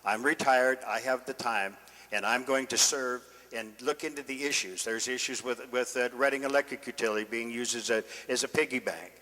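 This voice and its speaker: Bright older American man in echoey room. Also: medium pitch, fairly even intonation, moderate pace. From Northern California, United States. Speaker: city council candidate. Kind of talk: informal campaign speech. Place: indoor meeting room. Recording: echoey meeting room, long reverb.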